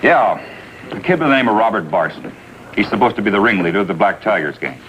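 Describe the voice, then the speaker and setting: A man playing a businesslike, exasperated American juvenile officer in a 1950s police drama, brisk and factual. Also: fairly low pitch, lively intonation, fairly bright tone, dull audio. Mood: weary, factual. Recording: noisy vintage TV soundtrack.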